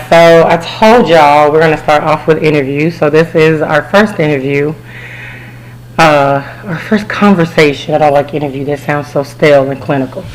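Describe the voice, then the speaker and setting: A hoarse woman with a cold hosting a podcast in casual, chatty talk full of fillers and asides. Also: low voice, low-quality recording. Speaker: podcast host.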